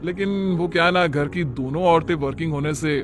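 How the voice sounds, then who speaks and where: A man's voice: a little high, fairly even intonation, fairly quick, over music, pitched below the performer's own voice, close to an everyday voice. Man playing a mild, put-upon young husband. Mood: resigned. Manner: casual, explanatory. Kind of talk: cartoon moral story acting.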